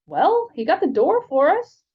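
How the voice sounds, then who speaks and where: Woman as a breezy, high-voiced chatty schoolboy. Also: sing-song, measured pace, dull audio, exaggerated voice. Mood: offhand. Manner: offhand, rambling, placid.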